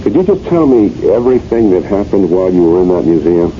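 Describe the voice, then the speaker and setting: A man playing an earnest, dogged police lieutenant in a 1970s radio drama, with a halting but insistent manner. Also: sits low, sing-song. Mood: businesslike, probing. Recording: muffled, hissy old radio broadcast recording.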